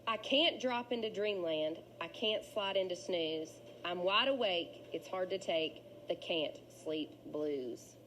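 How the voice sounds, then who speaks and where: A woman's voice: fairly low pitch, animated, slow, hardly any pauses, a bit bright, a little hiss or hum, rough recording. A woman voicing an earnest, worried male fish who cannot sleep, in plaintive children's storybook dialogue. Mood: restless.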